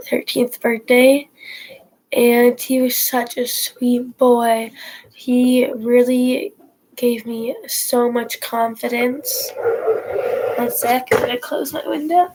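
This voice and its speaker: A woman's voice: on the high side, a little mellow, poor audio. A teenage girl with a stuffy nose from a cold, telling a story to her viewers in a casual, rambling way, full of fillers and restarts. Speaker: horse vlogger. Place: at home, indoors.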